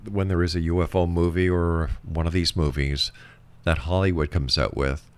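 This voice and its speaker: A man's voice: sits low, fairly even intonation, normal speed, clean tone. A man with a Canadian accent hosting a conversational radio interview. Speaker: radio show host interviewing a guest.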